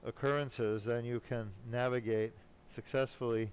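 A man working as a spine surgeon, giving casual, self-assured live commentary while he operates, pausing now and then mid-task. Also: medium pitch, steady tone. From born in Germany, later lived in California. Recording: clearly noisy operating room sound. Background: room noise and ambience.

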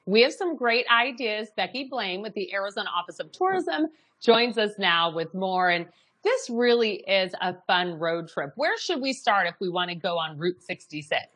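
A woman's voice: mid-range voice, animated, normal speed, a bit bright. A woman hosting a TV show, bubbly and enthusiastic as she interviews a guest in the studio. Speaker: TV show host. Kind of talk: TV host interview.

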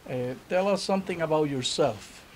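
A middle-aged man hosting a conversational interview, asking courteous, measured questions. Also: fairly high pitch. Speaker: interviewer, host. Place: small library room with armchairs. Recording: steady hiss and room noise.

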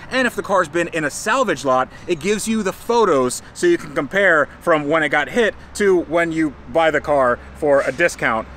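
A man's voice: on the high side, fairly bright tone, slight background noise. A man in his thirties hosting a car restoration vlog, explaining parts casually and reading a sponsor spot with upbeat energy. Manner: upbeat, joking, quick sponsor delivery. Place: indoor room for sponsor segment, garage lot outdoors elsewhere.

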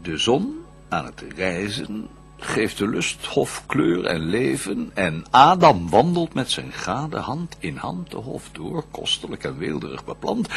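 An actor playing Lucifer, a vengeful, scheming fallen angel, in a theatrical radio play, declaiming in a husky, raspy voice that swings from hushed to roaring. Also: male voice, on the low side, exaggerated voice. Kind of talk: theatrical radio drama verse monologue.

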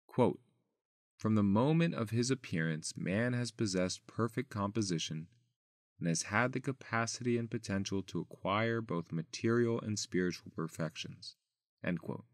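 Male narrator for an audio article: slightly low pitch, dry close sound, formal and deliberate delivery. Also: animated, dry recording. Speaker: article reader. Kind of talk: scripted narration, reading an article aloud.

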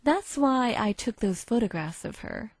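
A woman playing a cold, calculating female unicorn blackmailer in a visual novel fan dub, speaking in a smug, measured, threatening way. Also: a little high, animated, no room sound, clean studio sound. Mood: smug, explaining. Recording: faint music behind.

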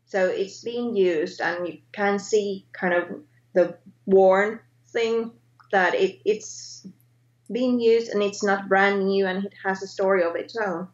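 A woman in her thirties from Finland with a Finnish accent, speaking English hesitantly as a guest on a video call, often pausing to search for words and correcting herself. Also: mid-range voice, steady tone. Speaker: guest artist. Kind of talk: casual interview chat. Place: home art studio indoors. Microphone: webcam on video call. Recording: roomy, dull lo-fi webcam sound.

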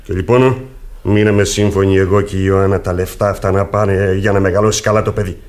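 Guy acting a low deep voice workman, sly and humble, hesitant country talk, old-style radio play. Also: little pitch movement, darkish tone, close to an everyday voice. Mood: self-justifying, humble. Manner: deferential, rustic, hesitant. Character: humble, sly working-class carpenter, obsequious and pious.

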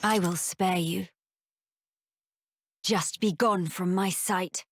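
A woman's voice: sits high, expressive, moderate pace, fairly bright tone, breathy edge, no room sound, heavily acted. An actress playing a dignified, stern young royal lady in a video game, formal and imperious, with a British accent. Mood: cold. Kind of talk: theatrical video game voice acting. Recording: game music behind the voice.

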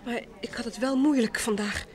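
A woman's voice: on the high side, steady tone, moderate pace, darkish tone, lightly acted. An actress playing an anxious, polite married woman in an old Dutch radio mystery. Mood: anxious, insistent. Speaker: radio drama actor.

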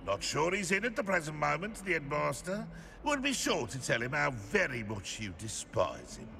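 Creaky, sardonic grumbling stone gargoyle. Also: male voice, fairly high pitch, wildly swooping pitch, moderate pace, pushed and bright, a little hiss or hum, heavily acted. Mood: scornful. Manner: sardonic, disdainful, complaining. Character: grumpy, sarcastic stone gargoyle guarding a headmaster's door. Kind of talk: video game character voice acting.

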